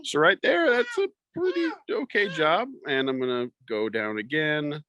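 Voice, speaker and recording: A man's voice: a little high, very animated, normal speed, pushed and bright, no echo, studio quality. A man narrating his own demonstration video, explaining each step plainly. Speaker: guest demonstrator.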